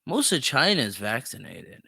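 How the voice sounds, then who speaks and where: A man's voice: medium pitch, sing-song, moderate pace, gravelly, pushed and bright, breathy edge, dry recording, clean studio sound. A man hosting a podcast of opinionated solo commentary, speaking emphatically with plenty of swearing and repeating himself for emphasis. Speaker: podcast host.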